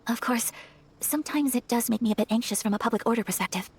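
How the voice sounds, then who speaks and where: A woman playing a refined, earnest young noblewoman in a video game, speaking politely and formally in a gentle, hesitant way. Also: a little high, fast talker, breathy edge. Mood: wistful. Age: young adult. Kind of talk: video game character acting. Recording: faint music behind the voice.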